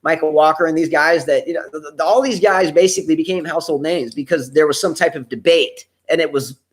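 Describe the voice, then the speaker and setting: A man co-hosting a live stream talk show, chatting casually. His voice sits a little high for a man. He reads viewer comments aloud and dwells on single words. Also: quick pace.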